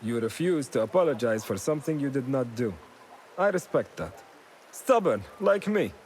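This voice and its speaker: A man's voice: medium pitch, expressive, moderate pace, darkish tone, a little hiss or hum, natural voice. A male actor playing a stubborn, friendly comrade in a conversational video game scene. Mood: friendly, candid.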